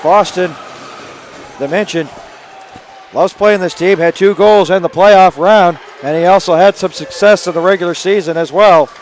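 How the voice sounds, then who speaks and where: A hockey play-by-play man with a raspy, worn-out voice that sits high for a man. He rattles through the action at speed and jumps up in pitch when a chance comes. A faint hiss hangs under the arena feed. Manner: rapid, rising to excited calls on scoring chances. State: hoarse from days of calling games. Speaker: play-by-play announcer. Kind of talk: live hockey play-by-play commentary. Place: arena broadcast booth.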